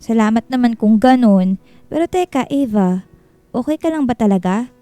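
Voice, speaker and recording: Woman acting as a frank, caring best friend, high-pitched. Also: lively intonation, normal speed, dark tone, no echo, soft background music, lightly acted. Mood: teasing concern. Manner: candid, consoling, advising. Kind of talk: Filipino radio drama acting.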